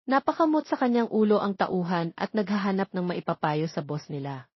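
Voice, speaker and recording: A woman's voice: mid-range voice, animated, brisk, breathy edge, no echo. A woman reading a scripted romance story as narrator, on a slightly dull recording.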